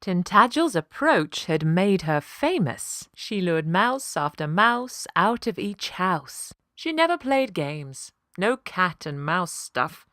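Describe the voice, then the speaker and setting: A woman reads a children's story in a slightly low voice with plenty of melodic movement. Her delivery is unhurried, rhythmic and deliberate, recorded close and dry. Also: very animated, a bit bright, dry recording. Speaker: voice actor, storyteller. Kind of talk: expressive scripted children's story narration.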